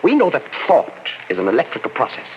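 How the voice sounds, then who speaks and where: Grave professor, measured, speculative, lively pitch, old radio drama, dull recording. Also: male voice, slight background noise. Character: grave middle-aged male professor, theorizing calmly. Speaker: Professor Martell. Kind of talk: 1940s radio acting.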